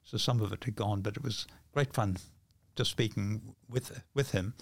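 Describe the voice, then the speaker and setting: An older male podcast guest speaks in a fairly low voice. He talks conversationally, halting and repeating words as he goes. The recording is dry and close. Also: slightly rough, no echo. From New Zealand. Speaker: podcast guest, magician and author. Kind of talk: casual conversation.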